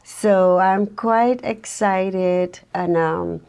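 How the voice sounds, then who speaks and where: A middle-aged woman from Suriname, Dutch as her first language, speaking slowly and thoughtfully as a podcast guest. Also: medium pitch, fairly even intonation, creaky, a little mellow, clear, resonant tone. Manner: slow, reflective, thoughtful with frequent pauses. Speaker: guest social worker. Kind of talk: podcast interview.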